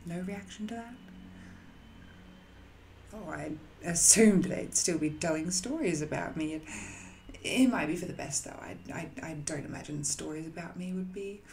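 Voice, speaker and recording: A woman playing an ancient, banished magical villain in an audio roleplay, musing and seductive, with hesitant, intimate delivery that often softens toward a whisper. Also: low voice, gravelly, a little hiss or hum. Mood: frank, wry. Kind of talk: scripted audio roleplay monologue, intimate and addressed to the listener.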